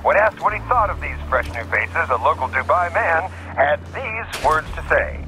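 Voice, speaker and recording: An actor playing a glib, mocking news announcer delivering a showy parody bulletin in a video game. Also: male voice, a little high, expressive, moderate pace, close to an everyday voice. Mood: mock cheerful. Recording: faint noise behind announcement.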